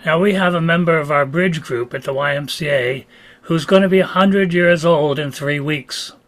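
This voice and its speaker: A man in his late seventies tells a brief funny story over a video call from his home. His voice is a touch high, and he stretches out key phrases to build the punchline. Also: fairly bright tone. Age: 70s. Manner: storyteller, slows for effect. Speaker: club member telling a story. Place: home room.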